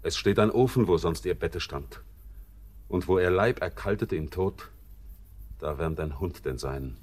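Brooding young seaman played by a man, low and slow, bitter, classic radio drama. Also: a little mellow. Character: bitter young male sailor returned home, brooding. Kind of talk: classic German radio play acting.

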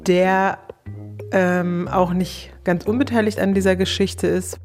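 Female interviewee, lowish voice, casual personal storytelling, faint music underneath. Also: level tone, unhurried, a little mellow. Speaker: interviewee telling her own story.

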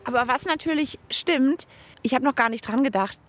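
A woman's voice: high voice, moderate pace, fairly bright tone, soft background music. A woman co-hosting a children's radio show outdoors, chatting cheerfully and thinking aloud as she builds. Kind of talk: lively radio chat while building. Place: outdoors among autumn leaves.

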